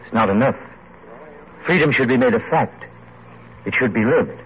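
An actor playing an earnest, impatient, idealistic English pamphleteer, intense and declamatory, in an old radio drama. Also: male voice, medium pitch, lively intonation, normal speed, lightly acted. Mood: earnest, insistent. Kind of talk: 1940s radio drama acting. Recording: muffled, noisy vintage radio recording.

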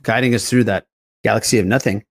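A man's voice: lowish voice, fairly even intonation, fast talker. A man hosting a casual podcast, bantering and reacting to his co-hosts. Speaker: podcast host. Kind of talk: casual chat, moderating.

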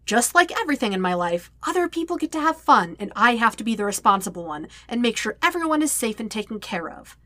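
Woman voicing an exasperated young man, bright and emphatic. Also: a little high, very animated, fast talker, hardly any pauses, no room sound. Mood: vehement. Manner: emphatic, sarcastic. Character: exasperated, dutiful young man, sputtering and indignant.

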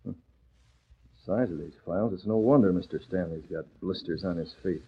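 Commanding newspaper boss, dull recording. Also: male voice, low-pitched, normal speed, slight background noise. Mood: brisk, wry. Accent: American. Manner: commanding, emphatic. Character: forceful, crusading male newspaper boss. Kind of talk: classic American radio drama acting. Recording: muffled old radio recording.

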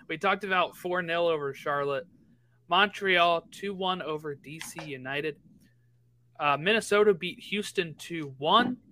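A man co-hosting a soccer talk show, running through the week's scores one after another. His voice is bright and forceful, and it sits a little high for a man. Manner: rattles through results quickly. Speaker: podcast co-host. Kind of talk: casual sports chat.

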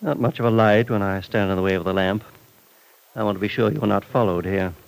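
A man's voice: fairly low pitch, fairly quick, vocal fry, low-quality recording. An actor in an old-time radio drama playing a cold, mysterious, menacing man who speaks in a clipped, domineering way. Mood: suspicious, probing. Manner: clipped, controlled, coolly domineering.

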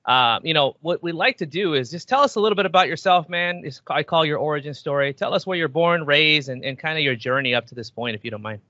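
A man's voice: a little high, fast talker, few pauses, pushed and bright, dry recording. A man hosting a podcast, chatting in a laid-back way with lots of restarts. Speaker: podcast host. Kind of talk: casual chat. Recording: dull, dry lo-fi sound.

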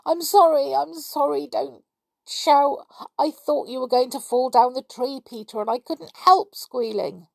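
A woman's voice: medium pitch, fairly even intonation, fast, gravelly, few pauses, dark tone, husky, no echo, voice pushed up, full character voice. A woman voicing a timid, easily frightened young girl who pleads and apologizes. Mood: tearful.

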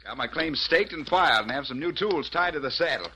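An eager, wary settler in an old radio play who keeps asking questions. The voice sits fairly high and moves lively, with a clear hiss behind it. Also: male voice, brisk. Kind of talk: 1940s radio acting.

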